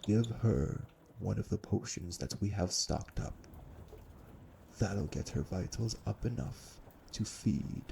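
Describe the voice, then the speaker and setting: Cold, imperious vampire patriarch played by a man: very gravelly, slow and measured, formal menace for audio drama. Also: lowish voice, animated, dark tone, slight background noise, full character voice. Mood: curt, dismissive. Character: cold, commanding elder male vampire brother, menacing. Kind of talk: audio roleplay drama acting.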